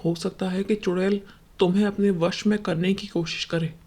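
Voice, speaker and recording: A woman's voice: medium pitch, steady tone, normal speed, mellow, close to an everyday voice. Woman playing a grave, paternal village holy man. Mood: solemn. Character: solemn, reassuring male village holy man. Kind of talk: dramatized horror cartoon story.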